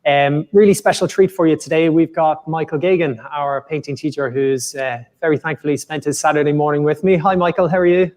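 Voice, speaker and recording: A man's voice: a little high, steady tone, fairly quick, few pauses, a little mellow, in a large hall. A man hosting a live stream who interviews his guest in an upbeat way and uses fillers. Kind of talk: casual interview.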